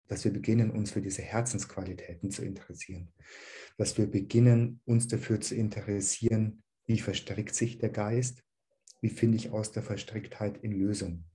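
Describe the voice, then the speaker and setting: A man teaching dharma to an online group, calm and searching, with a slight cold. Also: lowish voice. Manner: calm, searching, with many "ähm" restarts.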